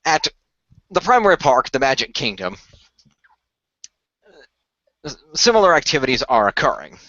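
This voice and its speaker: A man reads scripted narration for a radio play, with frequent stumbles and restarts. His voice is medium in pitch, on a dry, slightly dull home recording. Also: big pitch swings, normal speed, pushed and bright, no echo. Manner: stumbles and restarts while reading.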